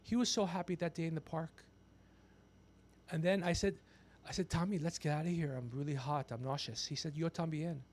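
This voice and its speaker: A man's voice: fairly high pitch, fairly even intonation, a little fast, darkish tone, a little hiss or hum. A man in his fifties chatting casually on a livestream as host, brash and ranting, swearing freely. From Persian background. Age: 50s. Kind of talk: casual profane livestream chat.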